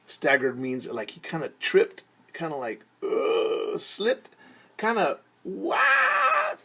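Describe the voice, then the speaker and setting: A man's voice: high voice, wildly swooping pitch, breathy edge. A man telling a story to children as a teacher, in a theatrical way, acting things out and explaining words simply. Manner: theatrical, acts out shouts, whispers and sound words; pauses to explain words simply and invite joining in. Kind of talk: animated children's storytelling with singing and word explanations.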